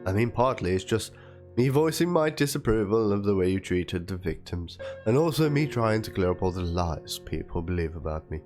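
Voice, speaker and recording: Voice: low-pitched, animated, normal speed, a little mellow. A reader giving a theatrical dramatic reading of written messages in an aggrieved, pleading manner. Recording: music under the voice.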